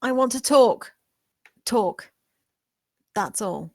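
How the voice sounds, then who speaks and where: A woman's voice: fairly high pitch, expressive, extremely slow, a little mellow, no room sound, studio quality, heavily acted. Woman acting defiant, probing servant in gothic drama. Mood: urgent. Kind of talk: dramatic screenplay table read.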